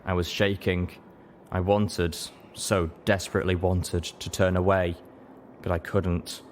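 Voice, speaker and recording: A man reading a fan-fiction story aloud in steady, earnest narration. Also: sits low, slight background noise. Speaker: audiobook reader.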